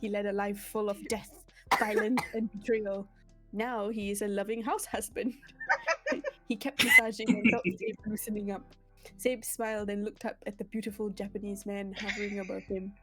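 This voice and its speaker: A woman's voice: medium pitch, flat delivery, moderate pace, a bit bright, soft background music. A giggly woman reading a story comically on a stream, breaking off to make asides. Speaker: streamer reading fan fiction aloud.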